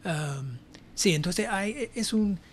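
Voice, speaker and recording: Older male professor, American accent, native English speaker, thoughtful interview answers with frequent pauses. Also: high-pitched, animated, slight background noise. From United States (New Jersey). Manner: hesitant, reflective, frequent pauses. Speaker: academic guest, university professor.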